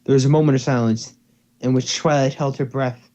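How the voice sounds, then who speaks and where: A man's voice: mid-range voice, steady tone, fairly quick, dark tone. A man reading fan fiction as narrator and co-host, in an amateur, casual style, over slightly dull call audio. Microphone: computer or headset mic on a voice call.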